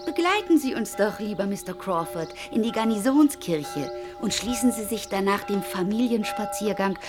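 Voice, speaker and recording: A woman's voice: medium pitch, expressive, moderate pace, lightly acted. Hospitable Regency mother, played by an actress, over music. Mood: inviting. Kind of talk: period radio drama acting.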